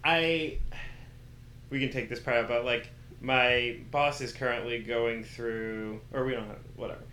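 A man's voice: mid-range voice, a little slow. A man being interviewed, talking casually and candidly, acting out quotes and using slang as he tells personal stories. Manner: animated storytelling with acted-out quotes and slang. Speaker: interview guest. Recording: roomy echo with faint background noise.

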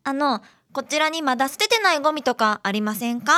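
ラジオドラマで、女性が礼儀正しく元気な小学生の女の子を演じている。. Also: female voice, high-pitched, lively intonation, brisk, bright, forceful tone, dry recording. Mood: keen. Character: polite, keen primary-school girl. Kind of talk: community radio drama acting.

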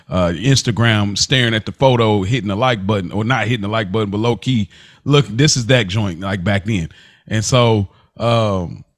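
A man with a fairly low voice hosts a casual recap podcast. His talk is slangy and informal, moving through scenes in a conversational way. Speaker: podcast host.

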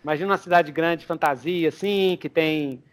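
A young man with a notably high voice runs a tabletop game. He improvises the story aloud in a loose, rambling way, and chuckles as he builds the scene. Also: normal speed. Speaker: game master.

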